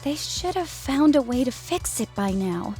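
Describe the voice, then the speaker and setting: A woman's voice: high voice, big pitch swings, measured pace, soft background music, voice pushed up. Woman voicing a weary, skeptical furred alien. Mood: quietly frustrated. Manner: muttering, quietly sullen. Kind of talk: audio fiction character acting.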